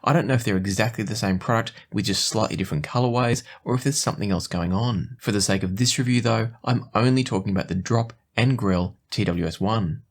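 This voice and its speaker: A man presenting a product review in a conversational, explanatory and even-handed way. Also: lowish voice, fairly quick, few pauses. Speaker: host of an audio gear review show.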